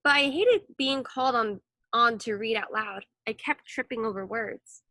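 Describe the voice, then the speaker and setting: Bright-voiced woman reading a storybook. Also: fairly high pitch, moderate pace, no room sound. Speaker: storybook reader. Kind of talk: expressive children's story narration.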